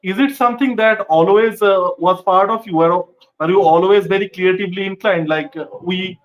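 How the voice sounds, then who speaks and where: A man's voice: high voice, normal speed, muffled recording. A man from West Bengal, India, hosting a casual interview and explaining things at length, often saying "like" as a filler. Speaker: interviewer, host.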